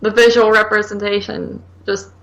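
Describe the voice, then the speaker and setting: Creaky-voiced woman in casual debate. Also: mid-range voice, level tone, moderate pace, dull audio. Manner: blunt, exasperated, slangy, repeatedly hedging with "like". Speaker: podcast co-host giving film reviews. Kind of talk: casual argumentative chat.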